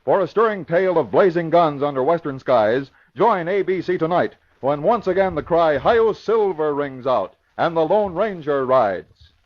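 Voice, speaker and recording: A man announces in dramatic old-time radio style. His voice is moderately high, formal and declamatory. The broadcast recording sounds dull and aged. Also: expressive, normal speed, hardly any pauses.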